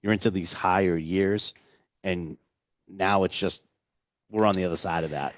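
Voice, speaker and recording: Low-voiced chatty man hosting a tasting. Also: fairly even intonation, moderate pace, no room sound, studio quality. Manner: chatty, rambling, jokey. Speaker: host. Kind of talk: casual whiskey tasting chat.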